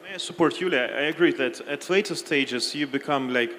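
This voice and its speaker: A man speaking as a panelist in a relaxed, lightly humorous way. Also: a little high, fairly quick. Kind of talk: panel discussion. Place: conference hall. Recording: reverberant hall with faint noise.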